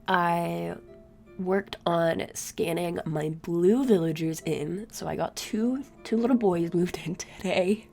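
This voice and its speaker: A young woman with a stuffy, sore-throated voice rambles through a relaxed voice-over from her chair at home. Her tone sits slightly low and has a gravelly fry, and her chatter sounds tired. Soft music plays faintly underneath. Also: slow. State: sick, congested, sore throat. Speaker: gaming YouTuber. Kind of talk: casual voice-over commentary. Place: home room. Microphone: desk mic, recorded at her chair.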